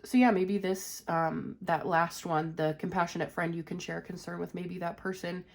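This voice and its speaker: A woman's voice: on the low side, flat delivery, normal speed, creaky. A woman with a stuffy, congested voice from a cold, giving a casual, rambling tarot reading to her viewers with lots of fillers. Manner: chatty, rambling, many "you know" and "um" fillers. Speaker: tarot reader.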